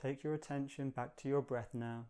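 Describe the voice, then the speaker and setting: A man leading a guided meditation in a calm, gentle, measured manner, giving slow, soothing instructions. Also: mid-range voice, clear, resonant tone.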